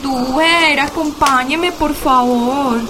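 An actress playing an anxious young law-firm secretary in a radio drama, speaking with a Colombian accent. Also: female voice, high voice, fairly even intonation, slow, noisy background. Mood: frightened.

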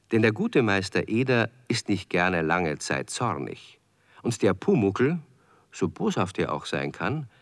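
A man narrating a children's radio play as a storyteller, with expressive, clearly phrased scripted delivery. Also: deep voice, no echo, clean studio sound, exaggerated voice. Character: male storyteller of a children's tale.

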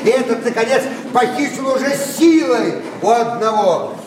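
An actor playing an obsequious, boastful society swindler with an ingratiating, theatrical manner in a verse stage comedy. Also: male voice, very high voice, lively intonation, moderate pace. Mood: fawning boasting. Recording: distant echoey stage, loud music.

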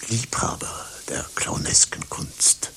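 An actor playing a formal, stiffly polite company director in a satirical radio play. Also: male voice, slow pace, pushed and bright, in a whisper. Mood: formal, courting. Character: formal, businesslike male company director courting a performer. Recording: hissy radio play recording.

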